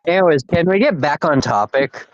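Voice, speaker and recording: A man's voice: on the high side, animated, moderate pace, dull audio, background noise. A man hosting a casual podcast, rambling and repeating himself with lots of fillers. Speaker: podcast host.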